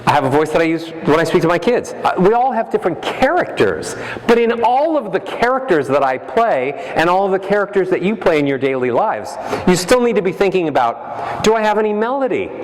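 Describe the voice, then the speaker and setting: A man giving a motivational keynote as a voice coach, animated and playful, acting out examples with his voice. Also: high voice, brisk, hardly any pauses, slight background noise. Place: large hall. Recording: echoey hall, faint ambience.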